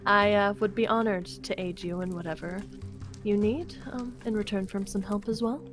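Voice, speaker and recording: Girl as a wary composed schemer in a game roleplay, medium pitch, faint music. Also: fairly even intonation, normal speed, close to an everyday voice. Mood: guarded. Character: guarded, composed young female schemer with hidden motives. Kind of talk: Minecraft roleplay acting.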